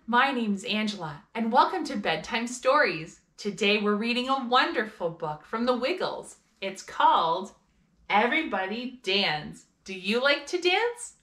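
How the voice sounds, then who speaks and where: Animated, bright-voiced woman reading to children. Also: fairly high pitch. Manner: expressive, deliberate storytelling. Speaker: host of children's read-aloud show. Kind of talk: scripted storybook read-aloud for children.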